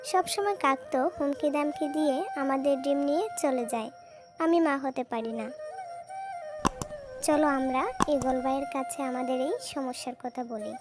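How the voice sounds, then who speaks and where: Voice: sits high, measured pace. A performer voicing a small, anxious female bird in a cartoon story, with a plaintive manner. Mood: hopeful. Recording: music behind the voice.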